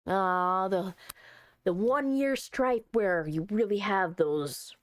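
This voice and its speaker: A woman doing let's play commentary on a visual novel, reading it aloud and chatting with expressive, playful asides. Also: medium pitch, takes it slowly, no room sound, clean studio sound. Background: soft music.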